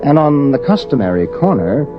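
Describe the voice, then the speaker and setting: A man playing a solemn narrator of an eerie tale, measured and dramatic, in 1950s radio drama style. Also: medium pitch, very animated, close to an everyday voice. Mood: solemn, suspenseful. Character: solemn storyteller of an eerie science fiction tale. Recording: dull old radio recording with music.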